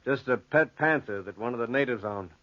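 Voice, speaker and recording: A man's voice: mid-range voice, a little fast, fairly bright tone, no room sound, natural voice. A man playing a deferential, plain-spoken soldier with folksy speech, reporting to his officer in a 1940s radio drama. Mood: dutiful, guarded. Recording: muffled 1940s radio recording.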